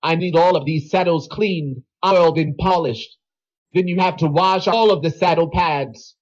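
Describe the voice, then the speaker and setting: A man playing a flustered, bossy older cowboy in a children's audio story, with a raspy voice. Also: a little high, normal speed, dull audio, close to an everyday voice.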